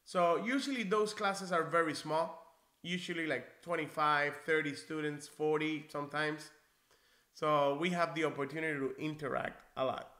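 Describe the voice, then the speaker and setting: Man, 40s, from Cuba, encouraging instructor on a live stream, bright upper-middle voice, echoey small office. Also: fairly high pitch, fairly even intonation, normal speed. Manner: friendly, encouraging, conversational with restarts. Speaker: NCLEX prep instructor. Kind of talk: live-stream Q&A, reading viewer comments. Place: small indoor office, seated in leather chair.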